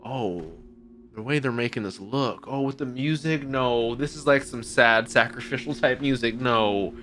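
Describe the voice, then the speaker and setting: A young man reacting out loud to an anime episode, exclaiming and swearing casually into a boom mic in a home streaming room. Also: a little slow, slight background noise. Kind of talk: excited reaction commentary. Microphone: boom mic with headphones. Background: anime soundtrack playing.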